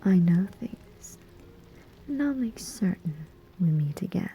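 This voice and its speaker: A woman's voice: lowish voice, animated, warm tone. A woman playing a sly, menacing female demon who collects souls, in a teasing whispered ASMR roleplay. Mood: condescending, menacing. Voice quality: breathy whisper. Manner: slow, deliberate, teasing.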